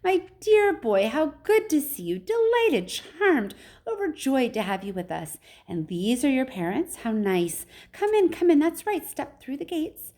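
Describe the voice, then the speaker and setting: Woman playing a high, fluty, excitable showman. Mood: gleeful welcome. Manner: gushing, exclamatory, quick-fire politeness. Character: excitable, effusive, eccentric old-fashioned male factory owner.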